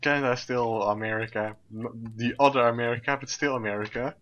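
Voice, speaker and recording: A man's voice: mid-range voice, fairly even intonation, brisk, a bit bright. A man from the Netherlands with a Dutch accent, chatting hesitantly while playing a game. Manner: hesitant, with restarts. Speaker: game streamer. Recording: dull lo-fi mic with faint hiss.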